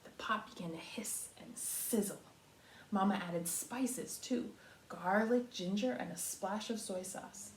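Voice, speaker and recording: A woman reading a children's storybook aloud like a kindergarten teacher, expressive and pausing to talk to young listeners. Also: medium pitch, a little hiss or hum. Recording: roomy echo.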